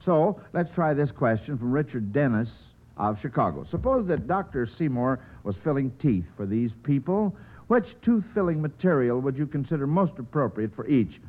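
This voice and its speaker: Halting old-time radio quiz host, American man. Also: mid-range voice, big pitch swings. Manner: hesitant, halting, drawn-out hems and haws. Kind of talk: 1940s radio quiz hosting, ad-libbed. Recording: old radio broadcast, narrow band, faint noise.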